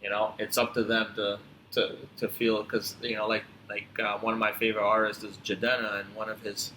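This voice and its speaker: A man from Tanzania who grew up in upstate New York, talking casually and reflectively as a podcast guest, often halting and repeating phrases. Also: lowish voice, monotone, pushed and bright. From born in Tanzania, grew up in upstate New York. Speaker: podcast guest, physical therapist. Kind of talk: casual conversational storytelling. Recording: roomy echo, clear background noise.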